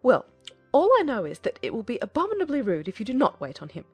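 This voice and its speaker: Woman putting on fretful society mother, higher voice, lively intonation, exclaiming, period audiobook drama. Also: quick pace, breathy edge, no echo, soft background music, heavily acted. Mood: indignant, determined. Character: fussy, indignant middle-aged mother, gossipy and excitable.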